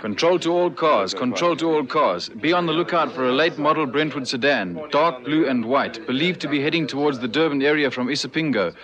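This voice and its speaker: An actor playing a measured, official police radio dispatcher in a vintage radio drama. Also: male voice, a little high, lo-fi sound, lightly acted. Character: formal, clipped police radio control room dispatcher. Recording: old radio recording, background chatter.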